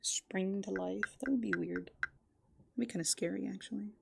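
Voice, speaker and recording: Creaky-voiced woman musing in casual ASMR. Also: mid-range voice, normal speed, a little hiss or hum. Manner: musing, hesitant, whimsical. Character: playful raccoon character. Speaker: ASMR roleplay streamer. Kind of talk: soft, casual ASMR roleplay chat.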